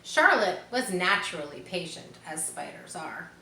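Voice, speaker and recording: A woman reading a children's story aloud, expressive, as if guiding young listeners through it. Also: on the low side, measured pace. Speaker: storybook reader. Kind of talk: children's read-aloud narration. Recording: roomy echo, faint background noise.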